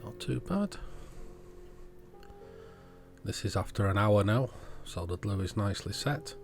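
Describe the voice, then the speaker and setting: Low-voiced man narrating a repair. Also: lively intonation, unhurried, creaky. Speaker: host of an electronics repair channel. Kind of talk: step-by-step repair voice-over, casual. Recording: music behind the voice. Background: background music.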